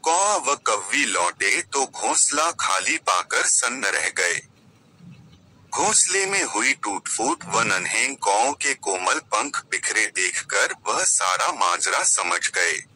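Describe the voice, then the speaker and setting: A man narrating a scripted children's moral story in a deliberate, expressive storybook manner. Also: lowish voice, low-quality recording.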